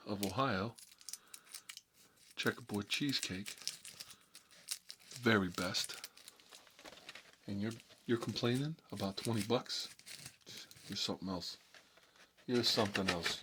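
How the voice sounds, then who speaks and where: A man playing a cagey, cocky mob boss in an ASMR role-play, talking unhurried in needling tough-guy street talk. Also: lowish voice, background noise. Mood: scornful, boastful.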